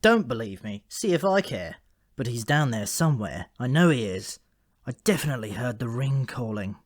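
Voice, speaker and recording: A man's voice: mid-range voice, very animated, normal speed, dry recording, clean studio sound. Man voicing an earnest, insistent talking raven. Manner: defensive, chatty, colloquial. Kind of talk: comic fantasy audiobook character voice.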